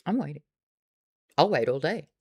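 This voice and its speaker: A woman's voice: low-pitched, expressive, normal speed, a little mellow, slightly rough. A woman with an Australian accent hosting a casual book club livestream, teasing and sarcastic as she chats with viewers.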